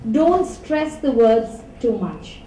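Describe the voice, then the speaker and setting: High-voiced Indian woman lecturing in a hall. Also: takes it slowly, a little hiss or hum. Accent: Indian English. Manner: animated, asks the audience rhetorical questions. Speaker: communication trainer. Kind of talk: lecture to students. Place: large lecture hall, before a student audience. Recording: echoey hall, mic set far off.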